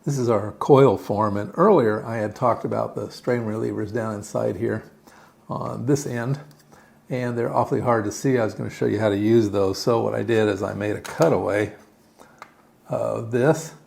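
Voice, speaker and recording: A man giving a casual hands-on DIY tutorial, unscripted and halting, thinking aloud as he explains. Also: on the low side, steady tone, vocal fry, darkish tone. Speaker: DIY electronics video maker.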